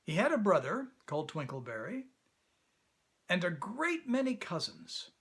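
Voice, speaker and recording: A man reading a children's story as an actor, in expressive, deliberate storybook narration. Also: high voice, husky.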